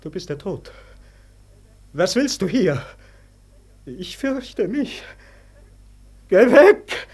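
A man's voice: high voice, sing-song, extremely slow, long pauses, a little mellow, husky, exaggerated voice. An actor playing an ageing, fearful, melancholy nobleman in a 1940s German radio verse drama, declaiming with theatrical anguish. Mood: terrified. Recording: 1949 radio studio recording.

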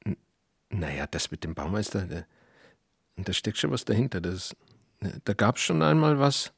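An actor playing a wry, guarded writer who is an outsider, speaking in a dry, hesitant, understated way. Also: male voice, lowish voice, big pitch swings, normal speed, creaky, slightly rough, dry recording, exaggerated voice. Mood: guarded, casual. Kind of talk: naturalistic film acting.

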